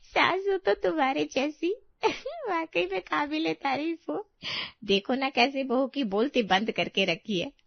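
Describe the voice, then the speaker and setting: Woman playing chatty neighbour, middle-aged, high voice, flattering, teasing, audio story, dry sound. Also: unhurried, gravelly, no echo, highs cut off, exaggerated voice. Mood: amused, chatty. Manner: teasing, familiar.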